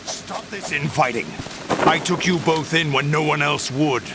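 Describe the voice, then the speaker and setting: An actor playing a cold, imperious villain commander in a video game, with a gravelly voice and deliberate, commanding delivery. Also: male voice, on the high side, very noisy. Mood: stern. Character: imperious, calculating male mastermind commanding a mercenary army. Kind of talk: video game cutscene acting.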